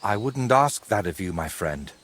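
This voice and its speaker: Husky middle-aged male painter for a medieval game: courteous, wry, florid, very animated intonation. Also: on the low side, normal speed. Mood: grateful, weary. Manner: theatrical, wordy, courteous. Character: wry, wordy ageing medieval painter, robbed but polite. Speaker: video game character. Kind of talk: game cutscene dialogue.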